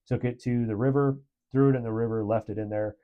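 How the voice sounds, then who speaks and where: A man's voice: medium pitch, steady tone, quick pace, phone-quality sound. A man giving a casual product review in a conversational, approving way.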